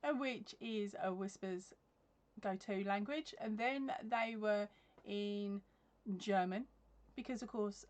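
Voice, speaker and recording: A woman's voice: medium pitch, slow pace, a little mellow. A woman, chatting casually on a crochet livestream from her craft room, laughing often and filling gaps with ums. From England. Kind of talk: casual live-stream chat reading comments. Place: craft room at home with yarn shelves. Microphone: webcam at desk.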